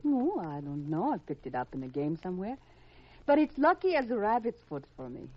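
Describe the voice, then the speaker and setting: A woman's voice: on the low side, very animated, fairly quick. A woman acting a coy, teasing, thrill-seeking gambler, a femme fatale in a 1950s radio mystery. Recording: muffled old radio recording.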